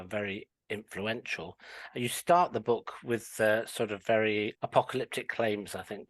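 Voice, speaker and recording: A man's voice: lowish voice, a bit bright, no room sound. A man who reviewed the book, asking a long thoughtful question in an academic interview, with many "you know" fillers.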